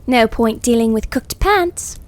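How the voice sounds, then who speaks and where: Sardonic female apparition, played by a woman narrator, goading and mocking, higher pitch, audiobook drama. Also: expressive, measured pace. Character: taunting, mocking female hallucination of a companion.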